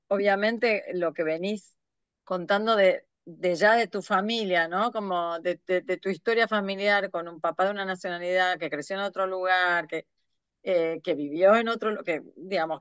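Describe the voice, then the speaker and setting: A middle-aged woman with an Argentine accent asks questions in a smooth, fairly low voice. She phrases things carefully, pausing on fillers. The recording sounds dry and a little dull. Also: a bit bright, no echo. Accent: Argentine Spanish. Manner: thoughtful, hesitant phrasing with many fillers. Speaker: podcast host. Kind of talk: interview questions.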